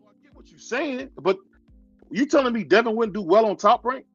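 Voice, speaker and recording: A man's voice: very high-pitched, expressive, brisk, dry recording, dull audio, faint music behind. A man hosting a heated live-stream boxing debate, constantly cutting in with repeated interruptions. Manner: keeps cutting in with repeated "hold on". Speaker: show host.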